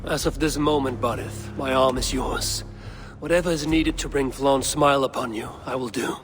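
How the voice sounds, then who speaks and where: An actor playing a loyal, resolute Viking warrior in a video game, speaking in solemn, formal archaic phrases. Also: male voice, medium pitch, moderate pace, soft background music, close to an everyday voice. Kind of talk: cinematic video game acting.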